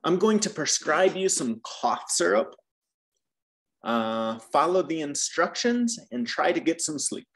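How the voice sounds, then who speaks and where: An English teacher tutoring a student, gently correcting and modelling phrases slowly. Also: mid-range voice, sing-song.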